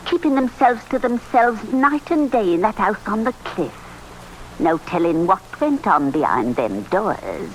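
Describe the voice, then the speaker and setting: An actress playing a gossipy, ominous village innkeeper in an old radio drama, with a folksy, insinuating manner. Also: female voice, mid-range voice, big pitch swings, measured pace. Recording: noisy vintage radio recording.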